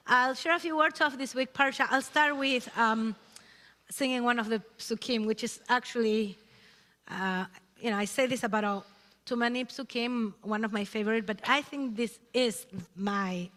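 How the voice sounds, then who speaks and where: A woman from Chile, leading a Shabbat service, speaking in a halting, searching way and singing Hebrew verses. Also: a little high, a bit bright. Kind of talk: Shabbat service sermon with chanting. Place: synagogue sanctuary. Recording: long reverb of a sanctuary.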